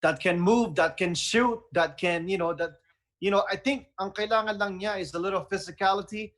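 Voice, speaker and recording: A Filipino-accented man with a notably high voice hosts a radio talk show. He speaks in an enthusiastic, conversational manner, keeping things casual. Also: fairly even intonation, normal speed, a bit bright.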